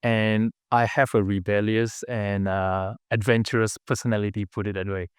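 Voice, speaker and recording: Smooth-voiced Malaysian-born man, halting. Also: on the low side, little pitch movement, no room sound, clean studio sound. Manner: earnest, halting, with many fillers and restarts. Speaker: podcast guest, business founder. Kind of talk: casual interview storytelling.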